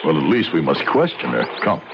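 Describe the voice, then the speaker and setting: An actor playing a dignified frontier judge, formal, measured and earnest, in the emphatic style of old-time radio drama. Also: male voice, very deep voice, wildly swooping pitch, gravelly, noisy background, heavily acted. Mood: grave, formal. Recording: muffled radio recording with music behind.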